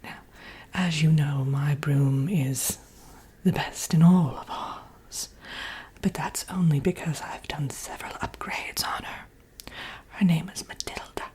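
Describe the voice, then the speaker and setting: A woman voicing a vain, sly wicked witch in a breathy, whispered ASMR roleplay, chatting coyly as if with an old friend. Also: deep voice, fairly even intonation, a little mellow, poor audio. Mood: smug, conspiratorial. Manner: theatrical, coyly boastful, doting, slow and murmuring.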